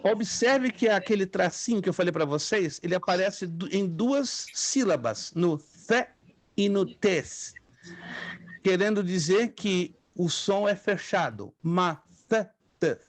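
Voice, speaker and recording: High-voiced man teaching, dry close sound. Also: animated, takes it slowly. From Brazil. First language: Spanish and Hebrew. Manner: patient, repeats words for students to echo. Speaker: theology teacher. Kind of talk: online class lecture.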